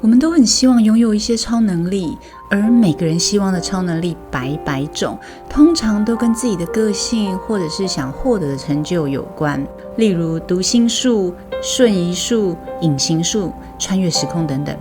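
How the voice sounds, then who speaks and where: A woman's voice: medium pitch, expressive, moderate pace, few pauses. A woman with a Taiwanese accent, a storytelling host reading scripted narration. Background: music bed.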